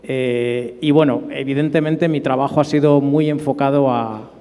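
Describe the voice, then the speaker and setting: A mellow, dark-toned middle-aged man with an accent from Spain. He shares recollections informally as a panelist, his voice carrying a long hall reverb. The pitch is ordinary for a man. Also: fairly even intonation, moderate pace. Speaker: conference panelist.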